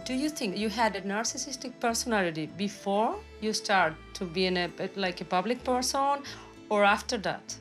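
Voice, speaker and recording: A woman asks curious, probing interview questions. Her pitch sits at an ordinary middle level. Faint music plays underneath. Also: animated, normal speed, fairly bright tone.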